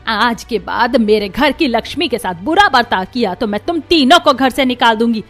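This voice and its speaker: A woman's voice: a little high, expressive, normal speed, a bit bright, soft background music. A woman voicing a firm, protective older village mother-in-law in a cartoon, motherly and admonishing. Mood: stern, angry.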